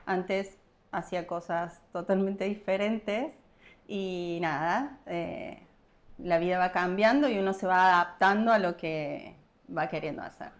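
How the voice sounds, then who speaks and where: A woman with an Argentine accent speaks as a podcast guest. Her talk is relaxed and conversational, with frequent pauses while she looks for the right word. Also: fairly low pitch. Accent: Argentine Spanish. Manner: relaxed, searching for words, pausing often. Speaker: guest, property investor. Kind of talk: casual podcast interview.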